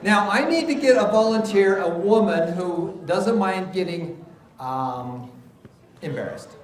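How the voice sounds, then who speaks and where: A man presenting a live seminar, joking with the audience and calling up volunteers in an energetic way. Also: high voice, a little slow. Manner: energetic, joking, drives the crowd. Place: large hall with live audience. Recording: echoey hall with crowd noise.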